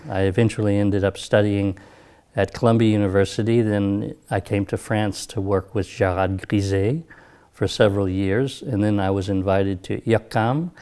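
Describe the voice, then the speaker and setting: A man in his fifties speaks in a fairly low voice with an American accent. He is a composer and professor giving an interview for a documentary. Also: fairly even intonation, moderate pace, mellow, clear, resonant tone. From United States, Texas. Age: 50s.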